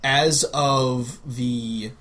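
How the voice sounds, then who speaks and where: A man's voice: mid-range voice, fairly even intonation, drawn-out words, a bit bright. A man co-hosting a podcast, explaining his points in a casual, conversational way among friends. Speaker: podcast co-host.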